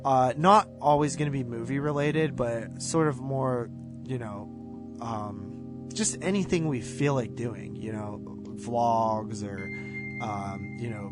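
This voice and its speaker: A man co-hosting a podcast in casual chat, talking in an informal, rambling, loosely phrased way. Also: mid-range voice. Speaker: podcast co-host. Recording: music behind the voice.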